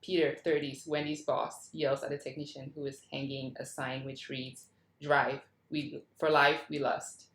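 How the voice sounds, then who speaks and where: A woman's voice: a bass voice, level tone, a little slow. A woman reading out scene headings and action lines from a script as scripted narration. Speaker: reader of stage directions at a table read. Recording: roomy, echoing video call audio.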